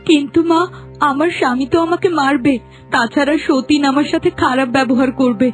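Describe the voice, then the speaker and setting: A woman's voice: high-pitched, brisk, soft background music, natural voice. A woman voicing a meek, mistreated young wife in a cartoon, pleading plaintively. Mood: fearful, pleading.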